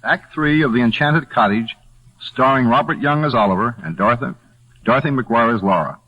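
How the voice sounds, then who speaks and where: A man's voice: medium pitch, a little fast. A man introducing and narrating a 1940s radio play in scripted style. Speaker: host and narrator. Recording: dull vintage radio, loud music behind.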